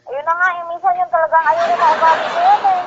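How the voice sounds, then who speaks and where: A woman with a Filipino accent and a high voice speaks quickly in a casual phone conversation. She tends to repeat and rephrase what she says. The sound is dull and muffled, as from a phone. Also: little pitch movement, over a noisy crowd, very noisy. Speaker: phone call recipient, an aunt.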